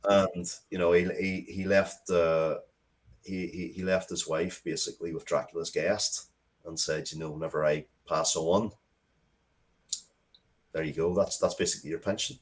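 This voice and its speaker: A man speaking casually as an interview guest, thoughtful and halting, often repeating words as he works out his point. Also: low voice, steady tone. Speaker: interview guest, writer of Dracula comics and media.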